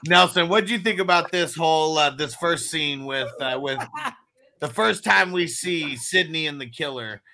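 Bright, forceful man hosting a podcast. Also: on the high side, normal speed. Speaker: podcast host steering the discussion. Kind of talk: casual movie review chat.

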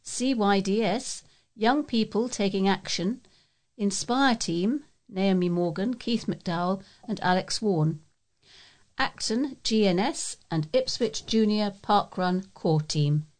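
A woman's voice: medium pitch, slow pace, darkish tone. A woman reading local news aloud as a volunteer newsreader.

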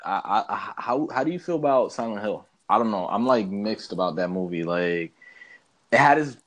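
A man's voice: on the low side, animated, moderate pace. A man co-hosting a podcast in casual, slangy chat, feeling his way through sentences, with background noise. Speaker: podcast co-host.

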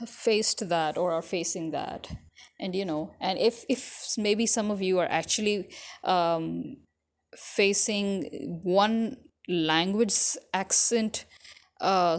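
A young woman with a mixed British, American and Pakistani English accent, talking casually and rambling with many fillers as an author vlogging. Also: medium pitch. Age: young adult. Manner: rambling, frequent fillers, self-correcting. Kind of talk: casual spoken monologue.

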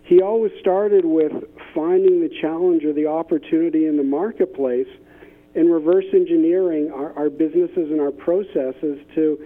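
A narrow, phone-like line carries a man's somewhat high voice. He speaks as a consultant being interviewed, explaining things conversationally. His phrasing halts and doubles back on words as he goes. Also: steady tone, moderate pace. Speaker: interview guest, business innovation consultant. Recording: tinny, band-limited phone-like line.

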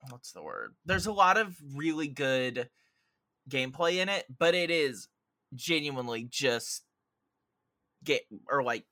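A man's voice: on the high side, big pitch swings, unhurried, pushed and bright, no room sound, clean studio sound. A man chatting casually as a podcast guest, opinionated and emphatic in the way he talks.

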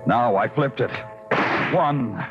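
An actor playing a hard-boiled, sardonic New York police detective in a 1950s radio crime drama, with tough, clipped delivery. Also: male voice, medium pitch, slow pace. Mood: tense narration. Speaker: lead actor and narrator. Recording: dull old radio recording with music.

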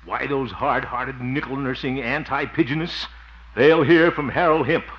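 An American man acting a pompous, excitable, good-hearted small-town crusader in a 1950s radio sitcom, with theatrical bluster. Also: medium pitch, moderate pace, close to an everyday voice. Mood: blustering indignation. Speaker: lead actor in a radio comedy. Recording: muffled, noisy 1950s radio recording.

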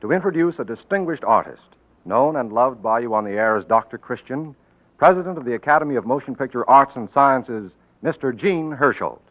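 A man's voice: medium pitch, lively intonation, brisk, a little hiss or hum. A male radio announcer reading a script in a polished, grand 1940s style. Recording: dull 1940s radio recording over loud music.

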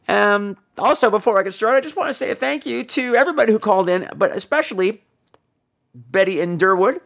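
A man hosting a podcast in a campy, irreverent, rambling way, his voice hoarse from laryngitis. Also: high-pitched, animated, brisk, fairly bright tone. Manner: campy, irreverent, crude joking. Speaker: podcast host. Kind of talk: rambling comic casual chat.

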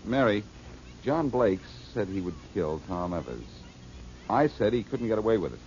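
Boston Blackie, a breezy deep-voiced detective. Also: male voice, very animated, normal speed, darkish tone, slight background noise. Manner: breezy, wisecracking. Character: Boston Blackie, wisecracking adult male detective. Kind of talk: 1940s radio acting. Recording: muffled old radio broadcast.

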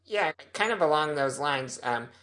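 A man's voice: a little high, expressive, moderate pace, sharp tone. A male podcast panelist works his way toward a question. He speaks casually and hesitantly, stopping and restarting as he goes.

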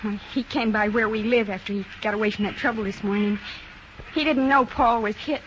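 A woman's voice: medium pitch, fairly even intonation, brisk, a bit bright, close to an everyday voice. A woman playing an anguished, frightened criminal's wife in a 1950s radio drama, speaking hesitantly and plainly. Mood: troubled, confiding. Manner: hesitant, plain unschooled speech. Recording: dull, noisy 1950s radio recording.

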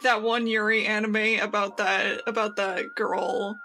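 Excitable, bright-voiced woman podcaster. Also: medium pitch, a little slow, soft background music. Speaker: podcast co-host. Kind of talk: casual fan chat.

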